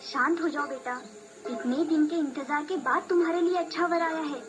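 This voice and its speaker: Man voicing a high-pitched demon mother. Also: steady tone, normal speed. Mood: soothing. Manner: coaxing, admonishing. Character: protective, scolding demon mother, sweet to guests. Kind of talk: animated storybook voice acting. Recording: music behind the voice.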